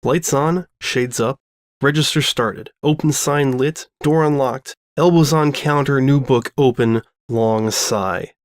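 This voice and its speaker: Male story narrator, mid pitch, dry sound, scripted fan fiction reading. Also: slow pace, no room sound.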